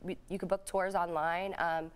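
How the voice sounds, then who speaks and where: Breezy woman guest, slightly low voice. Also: little pitch movement, smooth. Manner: casual, breezy, quick to joke. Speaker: drink company founder, guest. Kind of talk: TV studio interview. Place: TV studio.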